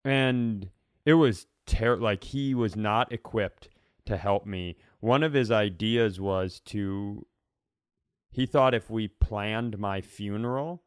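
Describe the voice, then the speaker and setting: Smooth-voiced man in dry, close sound. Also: lowish voice, takes it slowly, no echo. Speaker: podcast host, comedian. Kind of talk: casual comedic chat.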